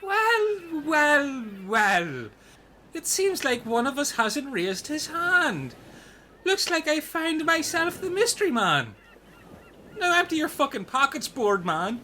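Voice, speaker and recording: Foul-mouthed bossy male castaway played by a man for comic radio drama; forceful, swooping delivery, noisy backdrop. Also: extremely high pitch, very animated, takes it slowly, heavily acted. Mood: blustering. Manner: sweary, blustering, dramatic. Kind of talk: comic radio play acting.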